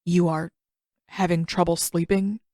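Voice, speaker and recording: Lady doing a stiff old man for a story, suspicious, formal, kinda halting, very dry close sound. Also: on the low side, flat delivery, mellow, dry recording, natural voice.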